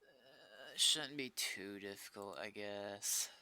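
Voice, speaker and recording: Man, low bright voice, casual coding stream, muttered self-talk, occasional swearing. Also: slow pace. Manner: muttering to himself, profane. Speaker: streamer doing game server development. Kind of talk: casual thinking aloud while coding.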